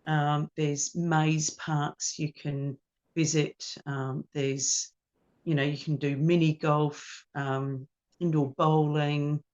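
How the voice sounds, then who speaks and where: A woman's voice: low voice, level tone, slow, a little mellow, highs cut off. A woman chats as a guest over a video call from her home music studio. She is friendly and loose, with plenty of ums as she goes. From New Zealand. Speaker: ukulele teacher and performer, guest. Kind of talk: casual interview chat.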